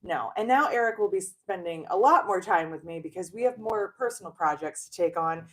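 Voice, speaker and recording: An older woman hosting a casual live stream from her shop, chatty and quick to laugh at herself. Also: on the low side, expressive, fairly bright tone. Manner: chatty, laughs often, self-teasing. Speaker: shop owner and show host. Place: indoor shop with renovation noise upstairs.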